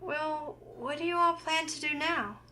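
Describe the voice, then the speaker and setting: A woman voicing a curious, introspective young heroine, reading her thoughts and lines aloud from a visual novel in an earnest, expressive way. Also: sits high, a little slow, slight background noise.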